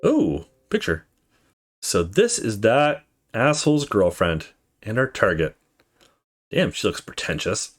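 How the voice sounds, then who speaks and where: Low-voiced, animated man doing gaming commentary. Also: takes it slowly. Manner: informal, sweary, reacts off the cuff. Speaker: let's play host reading a visual novel aloud. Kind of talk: casual gaming commentary mixed with reading dialogue aloud.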